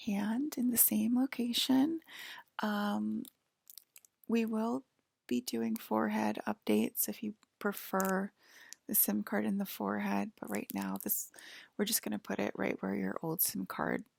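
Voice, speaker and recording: A woman performs an intimate ASMR roleplay, slipping between whisper and soft speech. Her voice is heavily creaky and breathy, with a dry, close sound. She talks in a reassuring, clinical patter, pausing to check that the listener is okay. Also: medium pitch, no echo.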